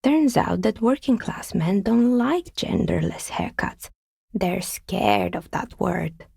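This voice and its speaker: An actress playing a calm, wry woman who makes and sells novelty dice in a video game, speaking in a husky, measured, understated way. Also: medium pitch, no room sound, clean studio sound, exaggerated voice. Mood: reflective, explaining. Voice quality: husky, breathy, slightly creaky voice. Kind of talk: video game character dialogue.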